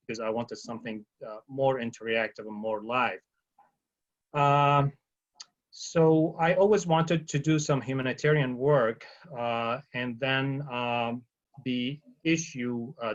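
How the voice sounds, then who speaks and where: A man's voice: mid-range voice, a bit bright, lo-fi sound. A man in his fifties, a physician on a panel, speaking reflectively with many hesitations. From Syria. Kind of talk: panel discussion on a video call.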